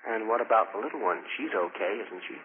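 A man's voice: fairly low pitch, animated, quick pace. A man interviewing for 1940s radio, asking short simple questions and repeating answers back. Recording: narrow, hissy vintage 1940s radio recording.

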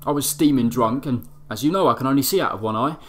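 An actor playing a rough, hard-drinking ex-bouncer housemate who talks bluntly and colloquially in an audio drama. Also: male voice, medium pitch, fast, a bit bright, close to an everyday voice. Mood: wry. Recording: prominent music under the voice.

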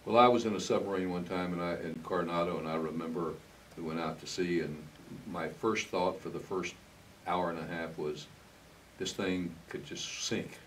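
A man's voice: deep voice, steady tone, normal speed, fairly bright tone. An older man hosting a conversational television interview, informal and searching for his words. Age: older, 60s-70s. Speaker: interviewer, host. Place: indoor TV studio, green screen. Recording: slightly distant mic, faint hiss.

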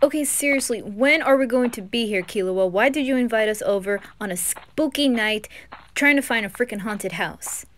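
A woman's voice: on the high side, normal speed, slight background noise, lightly acted. A woman voicing a jumpy, easily spooked young man who blusters and protests in an anime fan roleplay. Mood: alarmed, exasperated.

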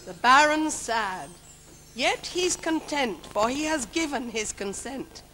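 Kindly pantomime fairy godmother: unhurried rhyming verse, highish voice, noisy theatre. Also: expressive, bright, forceful tone, heavily acted. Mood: wistful, gentle. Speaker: pantomime actress. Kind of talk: measured theatrical verse recital. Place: large theatre stage. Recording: noisy theatre stage recording.

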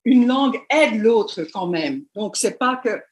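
A woman's voice: on the high side, lively intonation, slow. Woman, non-native French, university lecturer, webcam talk, hesitant, many fillers. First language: not French. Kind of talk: online lecture over slides.

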